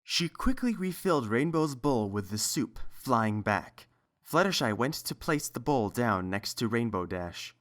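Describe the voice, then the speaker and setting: A man's voice: mid-range voice, big pitch swings, a little slow, a bit bright. A man reading a scripted story as its narrator.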